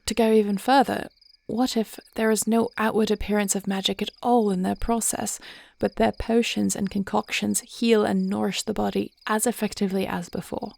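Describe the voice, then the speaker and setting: Woman acting a creaky-voiced, thoughtful fantasy dragon queen. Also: medium pitch, little pitch movement, a little mellow. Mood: reflective, explaining. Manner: measured, reflective, tender, formal phrasing. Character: thoughtful, devoted dragon queen wife planning the future. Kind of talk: intimate fantasy audio roleplay acting.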